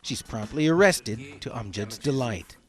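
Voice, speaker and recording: A man narrating a news report as correspondent, with a measured, even broadcast delivery. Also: medium pitch, faint music behind.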